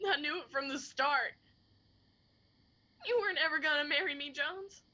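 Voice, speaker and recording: A woman's voice: sits high, moderate pace, bright, forceful tone, lo-fi sound. An actress in a radio drama playing a wounded, bitter young woman with an Appalachian accent, speaking with anguished, folksy emphasis. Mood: tearful accusing. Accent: Appalachian American.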